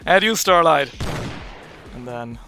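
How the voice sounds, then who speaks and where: A hoarse, tired man running a tabletop game on stream, upbeat and calling the rules briskly. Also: a little high, animated, music in the background. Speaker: game master.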